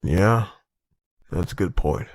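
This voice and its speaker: A man's voice: low voice, slow pace, no echo. A man playing a determined zombie-apocalypse survivor leader in a dramatic comic dub. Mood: tense, hushed urgency.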